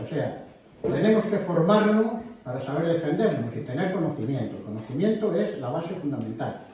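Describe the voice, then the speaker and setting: Man organizing a local meeting, mellow voice, rambling and indignant, captured from afar in a very echoey hall. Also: on the high side. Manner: animated, rambling, indignant asides. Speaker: event organizer. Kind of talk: addressing a live audience. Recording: very echoey hall, distant mic.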